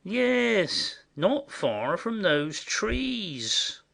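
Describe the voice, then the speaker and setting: Stage comedy audioplay: male actor as gullible rustic go-between, very animated, slow and halting, forever confiding secrets he should keep. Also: high-pitched, vocal fry, full character voice. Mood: chatty, pleased. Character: simple, indiscreet rustic male messenger, conspiratorial and chatty.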